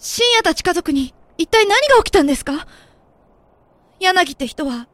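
A woman's voice: extremely high pitch, animated, moderate pace, a bit bright, dry recording. A woman playing an earnest, inquisitive teenage girl with a youthful, sincere way of talking in an audio drama. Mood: puzzled, questioning.

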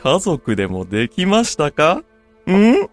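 A man's voice: a little high, huge pitch swings, soft background music, full character voice. A man playing a coldly polite, mocking villain in an audio drama, speaking smugly with a sneer under the courtesy. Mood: gleeful taunting. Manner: slow, smug, politely sneering. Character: coldly polite, mocking male villain who hunts werewolves. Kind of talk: Japanese audio drama acting.

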